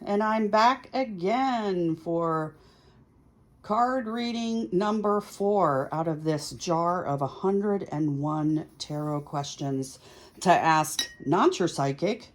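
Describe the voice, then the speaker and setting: A woman's voice: fairly low pitch, slow. A woman hosting a tarot reading, chatting casually and thinking aloud in short, musing phrases. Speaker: tarot reader hosting a video series.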